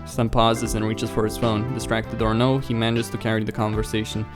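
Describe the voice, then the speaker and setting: A man's voice: on the low side, little pitch movement, brisk. A man hosting a let's play, reading a visual novel aloud and sometimes stumbling over the words, with music behind him. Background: background music.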